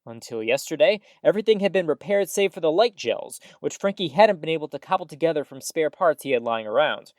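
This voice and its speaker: An actress as a folksy small-town radio host in an audio drama, a woman with a low voice that swings up and down. She delivers chatty, upbeat news patter full of mild old-fashioned exclamations, recorded very dry. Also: expressive, brisk, hardly any pauses, a little mellow, no room sound.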